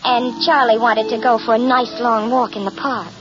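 A woman's voice: on the high side, little pitch movement, normal speed, natural voice. A woman playing a young homicidal manicurist in 1940s radio drama, slangy, coy and chattily matter-of-fact. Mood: chatty, chilling. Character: sweet-talking homicidal young manicurist, escaped asylum inmate. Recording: muffled old radio recording, loud music.

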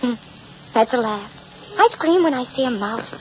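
Woman acting girlish killer, American, high-pitched, coy, artless, vintage radio drama, muffled recording. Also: expressive, moderate pace, a little mellow, a little hiss or hum, lightly acted. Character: girlish, falsely innocent cold-blooded female killer. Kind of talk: 1940s-50s radio drama acting, confessional narration. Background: music.

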